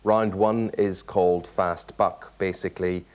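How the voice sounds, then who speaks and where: A man's voice: low-pitched, steady tone, measured pace, a little mellow, smooth. A man hosting a game show, explaining the rules and teasing the contestants in a chatty way.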